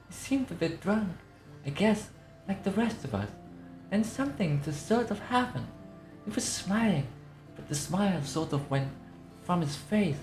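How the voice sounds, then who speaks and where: A woman's voice: medium pitch, darkish tone, lightly acted. Woman doing an old matriarch reminiscing, folksy and dramatic storyteller, echoey room, bit of music under. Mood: grave, dramatic. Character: elderly matriarch recounting a tragic night, wistful storyteller. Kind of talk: dramatic reading of a game newspaper. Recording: roomy echo.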